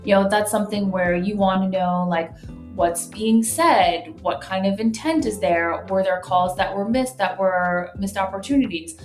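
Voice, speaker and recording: A woman's voice: medium pitch, normal speed, darkish tone. Female business guest, conversational and explanatory, echoey room sound, light music behind. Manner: conversational, frequent "like" and "right?" tags. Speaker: guest, marketing executive. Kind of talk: explanatory business interview talk.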